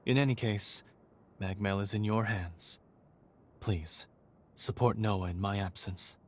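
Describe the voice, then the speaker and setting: A man playing a courteous, dutiful leader in a video game, speaking in a formal, measured and polite way. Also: fairly low pitch. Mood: polite, composed. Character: courteous, dutiful male leader departing on urgent business. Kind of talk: video game cutscene acting.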